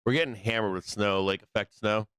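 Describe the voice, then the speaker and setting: Hoarse, profane stream host, low voice. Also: male voice, fairly even intonation, fairly quick, a bit bright, no echo. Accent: Midwestern American. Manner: rambling, swears constantly, frequent ums. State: hoarse, losing his voice. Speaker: live-stream trading host. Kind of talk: casual profane live-stream chat.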